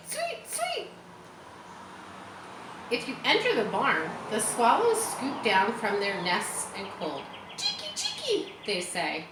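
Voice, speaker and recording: A woman reading a children's story aloud in a teacherly way, pausing to explain and chat to her listeners. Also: on the high side, a bit bright. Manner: teacherly, explains words and adds chatty asides. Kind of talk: children's audiobook reading with asides. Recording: roomy echo with faint background noise.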